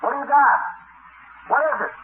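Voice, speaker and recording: A man playing a frantic searcher who calls out urgently in a 1950s radio drama. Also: moderate pace. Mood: alarmed, urgent. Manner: high, urgent calling out. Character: frantic male searcher shouting out news. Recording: echoey, noisy, narrow-band old radio recording.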